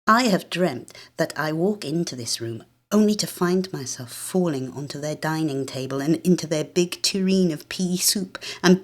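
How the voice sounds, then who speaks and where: A British actress narrates literary fiction in the first person. Her voice sits low, and she tells the story with measured, expressive delivery and a sense of drama. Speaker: actress reading a short story.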